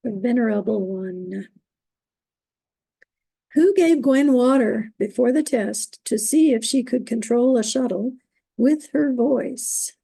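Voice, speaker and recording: A woman moderating an online trivia quiz, reading out questions and standings, who is losing her voice and keeps clearing her throat. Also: fairly high pitch, dark tone, no room sound, poor audio. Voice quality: gravelly, husky. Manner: upbeat, reading standings, halting on invented words.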